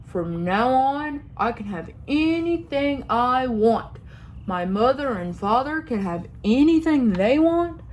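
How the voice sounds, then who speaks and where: A woman voicing an excited young male donkey in a storybook reading, speaking eagerly and slowly. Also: high voice, slight background noise, exaggerated voice. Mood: thrilled.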